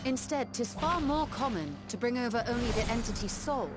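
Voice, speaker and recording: A woman's voice: on the high side, animated, a little fast, loud music behind the voice, natural voice. A woman playing a scholarly, confident mage in a video game, explaining things in formal, articulate diction. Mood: measured, explanatory. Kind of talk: scripted video game dialogue.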